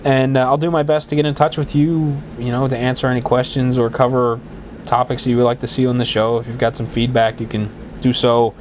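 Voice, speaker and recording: A man's voice: medium pitch, flat delivery, a little fast, a little mellow, slight background noise. A man with a head cold hosting his own podcast in a casual, rambling way, with many restarts and fillers. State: congested with a cold, voice slightly worn. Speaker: podcast host. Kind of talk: casual solo podcast talk.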